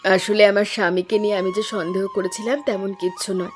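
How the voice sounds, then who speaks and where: A woman's voice: medium pitch, flat delivery, fairly quick, natural voice. Woman acting village fisherman's wife, chatty, complaining, cartoon folk-tale dialogue, faint music behind. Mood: pleased, chatty.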